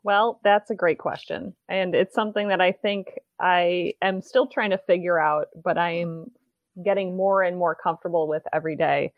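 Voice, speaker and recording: A woman's voice: fairly low pitch, fairly even intonation, moderate pace, dry recording, highs cut off. A woman in her forties, a podcast guest, talking reflectively in a casual interview chat.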